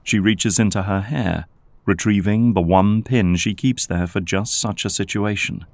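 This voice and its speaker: Casting: male narrator for audio drama, low-pitched voice, scripted storytelling delivery. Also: a little slow, vocal fry, no room sound.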